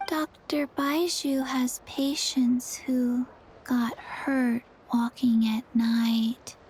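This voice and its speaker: A little zombie girl from a video game. The voice is high and childlike, with a very drawn-out pace. Short phrases come out haltingly, dazed, earnest and deadpan. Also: a little hiss or hum, poor audio. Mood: halting, forgetful.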